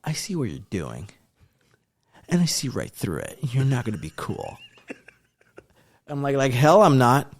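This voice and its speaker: A man's voice: medium pitch, measured pace, long pauses. A middle-aged man from Rico, co-hosting a podcast and telling casual stories, acting out what people said. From Puerto Rico. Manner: animated storyteller, acts out quotes. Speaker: podcast co-host. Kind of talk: casual storytelling.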